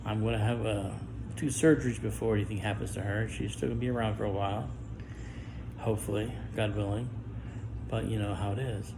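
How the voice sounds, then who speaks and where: A middle-aged man with a low, gravelly voice speaks a guarded monologue to camera. He talks haltingly, restarting words and pausing often. The recording is noisy, with constant background hiss. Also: steady tone. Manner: guarded and halting, with stammered restarts and long pauses. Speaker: vlogger.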